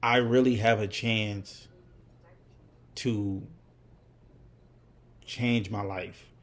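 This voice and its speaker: A young man in his twenties talks straight to the camera in a loose, unscripted way. His voice sits on the low side, and he circles back often, restarting thoughts and filling gaps with "you know." He sounds candid and reflective. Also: fairly even intonation, drawn-out words. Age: 20s. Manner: rambling, with many fillers and restarts. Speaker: vlogger. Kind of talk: casual unscripted talk to camera.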